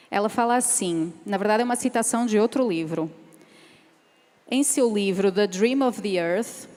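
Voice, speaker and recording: A woman from Portugal, speaking on a seminar panel and reading a passage aloud in a measured, careful way. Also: medium pitch, darkish tone. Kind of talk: seminar talk with a read passage. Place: large event hall. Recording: reverberant hall.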